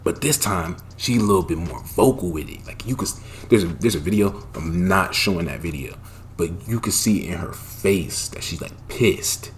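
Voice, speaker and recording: A young man casually telling a personal story, animated and profane, with loud bursts and lots of slang. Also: low voice, normal speed, a little hiss or hum. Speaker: storytime vlogger.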